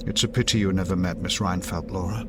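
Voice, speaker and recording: A man playing a middle-aged, studious gentleman father in a gothic audio drama, speaking formally and with restraint. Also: low voice, fairly even intonation, quick pace, very noisy. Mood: grave. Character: grave, reserved, studious gentleman father of the household. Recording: audio drama with clear background ambience.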